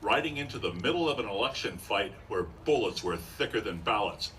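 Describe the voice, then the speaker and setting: A man's voice: fairly low pitch, expressive, a little fast, fairly bright tone. A man narrating as a 1950s-style radio announcer on a live stage, with an emphatic showman's delivery. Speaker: announcer in a radio show re-enactment. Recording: distant mic, faint noise.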